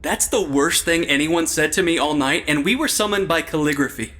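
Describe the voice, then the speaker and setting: A man's voice: a little high, fairly quick, pushed and bright. An actor playing a suspicious, wisecracking everyman guest in an audio drama, with dry sarcasm. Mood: wary, sarcastic.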